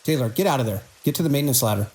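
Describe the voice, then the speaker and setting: A man playing a weary, alarmed hospital director in an audio drama, speaking grave, deliberate log entries in clipped sentences. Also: mid-range voice, lively intonation, a little mellow, breathy edge, low-quality recording. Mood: urgent, alarmed. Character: weary, alarmed hospital director recording logs during an outbreak.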